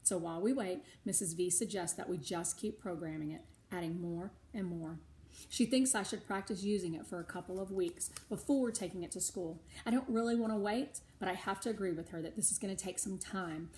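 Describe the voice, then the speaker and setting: A middle-aged or older woman reading a storybook aloud to schoolchildren, animated, with chatty asides of her own. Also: on the low side, fairly quick. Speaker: read-aloud host for schoolchildren.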